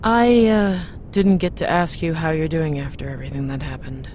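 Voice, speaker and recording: First officer, female actor: composed, loyal, caring; lowish voice with ship rumble behind. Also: fairly even intonation, normal speed, a little mellow, close to an everyday voice. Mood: friendly. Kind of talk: science fiction audio drama acting.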